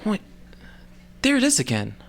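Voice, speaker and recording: A woman's voice: medium pitch, huge pitch swings, normal speed, creaky, background noise. A woman playing an anxious, grumbling male spaceship pilot in an audio drama, hesitant, whiny and sarcastic.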